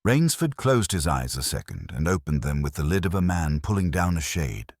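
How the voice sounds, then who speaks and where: Very deep-voiced male mystery narrator. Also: sing-song, moderate pace, no echo, studio quality. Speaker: audiobook narrator. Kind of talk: scripted first-person detective mystery narration.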